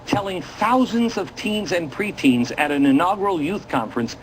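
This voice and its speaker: A man's voice: sits high, animated, normal speed, slight background noise, low-quality recording. A man hosting a political commentary show, talking to viewers in a dramatic, indignant and sarcastic manner.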